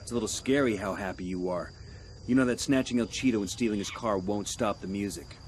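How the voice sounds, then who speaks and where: A man playing a tough, sardonic special forces operator, gruff and dry, in a video game. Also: lowish voice, a little fast, natural voice. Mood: wry. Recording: clear noise, crickets and vehicle ambience.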